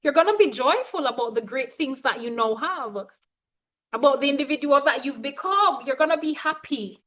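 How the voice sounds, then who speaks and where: A woman's voice: sits high, lively intonation, moderate pace, a bit bright. A woman coach giving a motivational teaching talk, speaking emphatically and often checking in with her listeners.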